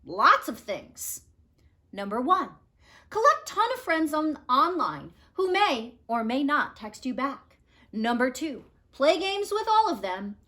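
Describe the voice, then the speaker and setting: A woman's voice: high voice, big pitch swings, slow pace, sharp tone, exaggerated voice. A woman voicing an eager, oblivious little male bird who talks in a chirpy, boastful way for a storybook. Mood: excited. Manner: chirpy, boastful, self-absorbed.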